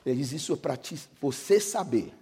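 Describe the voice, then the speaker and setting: A middle-aged man with a Brazilian Portuguese accent, a pastor giving a sermon with personal testimony. He moves between hushed storytelling and shouted preaching. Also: mid-range voice, animated, brisk. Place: church hall. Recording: echoing hall, long reverb.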